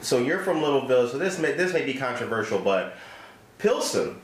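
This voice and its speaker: A man's voice: mid-range voice, very animated, fairly quick. Man interviewing in an echoey room. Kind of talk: casual, joking interview chat. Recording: roomy echo, faint background noise.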